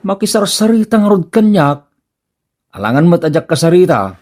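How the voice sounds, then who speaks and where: A man acting a henpecked village husband in an Ilocano comedy radio drama. His Ilocano-accented voice swings widely in pitch as he stammers, grunts and hems, easily flustered. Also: very animated, moderate pace, darkish tone. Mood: defensive. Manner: stammering, hemming and hawing, grunting exclamations.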